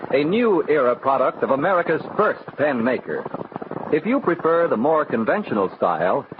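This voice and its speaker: A male announcer delivers an emphatic, polished 1940s radio sales pitch. His delivery swings lively over a thin, phone-like, noisy old recording.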